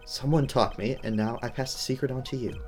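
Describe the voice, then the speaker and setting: A man voicing a calm, cryptic older mentor in a video game, knowing and measured. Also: mid-range voice, soft background music, close to an everyday voice. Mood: quietly serious. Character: cool, cryptic older male mentor, former lawyer and poker player. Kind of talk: video game dialogue read aloud.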